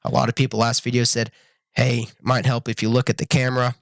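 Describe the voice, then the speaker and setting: A young man reviewing a show to camera in a casual, earnest way, full of ums and restarts, his voice a little raspy from being sick. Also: mid-range voice, level tone, fast, dry recording, clean studio sound. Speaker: YouTube reviewer.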